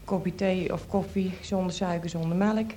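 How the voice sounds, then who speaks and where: A woman explaining casually, haltingly listing things item by item. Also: on the low side, fairly even intonation, dark tone, a little hiss or hum. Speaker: programme guest.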